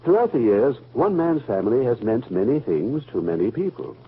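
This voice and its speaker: A man playing a reflective family man in a 1940s radio drama, speaking in a solemn, deliberate storytelling way. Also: medium pitch, very animated, husky. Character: reflective family man fondly recalling a radio show's history. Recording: muffled, noisy old radio recording.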